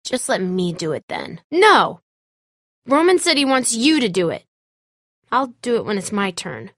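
A woman's voice: on the high side, sing-song, measured pace, no room sound, studio quality, exaggerated voice. A woman voicing a tough, sarcastic teenage girl in a zombie survival video game, blunt and snarky in a naturalistic way. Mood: anxious, insistent.

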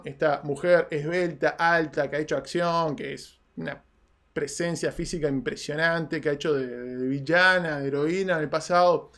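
Animated high-voiced man hosting film news. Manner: animated, gestures a lot while talking. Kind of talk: casual film commentary. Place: small studio with red curtain backdrop.

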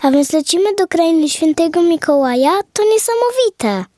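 Woman as a high, soft, curious little girl. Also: sing-song, moderate pace, no echo, clean studio sound. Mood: delighted wonder. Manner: eager, wondering, polite. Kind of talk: children's audio fairy tale acting.

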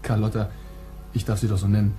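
Man acting a flirty male therapist, very dark relaxed tone, sardonic, unruffled, radio crime drama, faint music. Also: fairly low pitch, close to an everyday voice. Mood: smooth, sardonic. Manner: teasing, ironic, unhurried.

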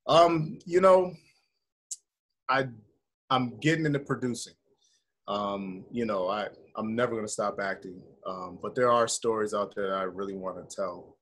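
A middle-aged American man with a husky voice, talking informally as an interview guest on a video call. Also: on the low side, moderate pace, a bit bright. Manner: informal, conversational, peppers talk with "man" and "you know". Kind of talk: casual interview chat. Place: indoor room with bare wall, video call.

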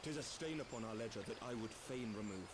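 A man voices a courtly knight of a fantasy game, pitched fairly low. He is earnest and dignified, with formal old-fashioned phrasing. Birdsong and nature ambience sit clearly behind. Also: a little fast, noisy background, lightly acted. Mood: contrite. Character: earnest, courtly male knight lord, proud and contrite. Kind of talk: scripted fantasy game acting.

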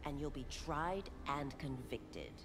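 An actress playing a scornful law officer in a video game, stern and scolding. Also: female voice, low voice, animated, slow, noisy background. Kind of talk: video game fight intro banter. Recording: game audio with loud music behind.

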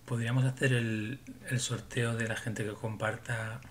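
A man in his forties talks loosely to his stream viewers from a small home room, his voice pitched in an ordinary middle range. He rambles and mutters to himself between thoughts. A faint hiss sits under the webcam sound. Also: level tone, normal speed. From Barcelona. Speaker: livestream host. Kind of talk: casual livestream chat with viewers.

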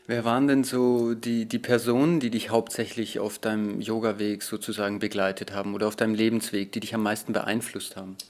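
Polite, measured male interviewer. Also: on the low side, flat delivery, a little mellow. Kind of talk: interview questions. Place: hotel lounge at a conference, indoors. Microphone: handheld recorder in his own hand.

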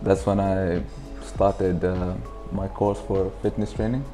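A man's voice: sits low, little pitch movement, slow pace, dark tone, soft background music. A man in his twenties from the UAE, chatting informally as an interview guest and explaining things, on a lapel mic indoors. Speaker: interview guest, clothing brand founder. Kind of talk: casual interview talk. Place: furnished indoor lounge.

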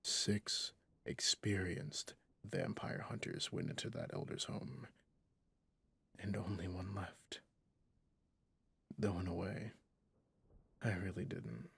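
A man playing a stern, haunted, centuries-old vampire hunter in an ASMR roleplay, speaking with slow menace in a breathy, gravelly voice that often drops to a whisper. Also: deep voice, very animated, fairly bright tone, full character voice. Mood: grim, regretful. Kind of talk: ASMR roleplay monologue to the listener.

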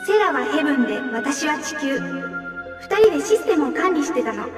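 Composed otherworldly administrator, high voice, self-assured, echoey hall, music behind, game cutscene. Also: female voice, very animated, moderate pace, distant mic. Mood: knowing, urgent. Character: composed female system administrator, knowing, brisk, otherworldly. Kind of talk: anime video game voice acting.

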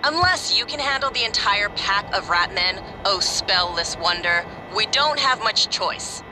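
Actress as woman game master, video game, dramatic storyteller, teasing, hushed suspense, unhurried. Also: medium pitch, lively intonation, music in the background, lightly acted, rough recording.

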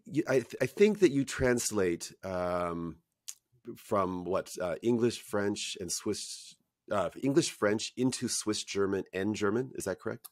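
An older man with a very low, deep voice hosts a podcast interview from a hotel room. He talks chattily, wandering into asides and filling gaps with "um" and "you know". The sound is dry and close on his headset mic. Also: a little slow, no echo. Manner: chatty, rambling asides, many fillers. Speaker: podcast host. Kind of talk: conversational interview. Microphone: headset mic on video call.

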